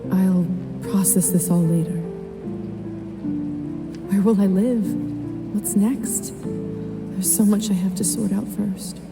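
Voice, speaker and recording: A woman's voice: mid-range voice, steady tone, slow pace, creaky, long pauses, loud music behind the voice. A woman playing an earnest, conscientious young woman newly turned vampire in a video game. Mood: earnest, pensive. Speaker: lead character of a story game.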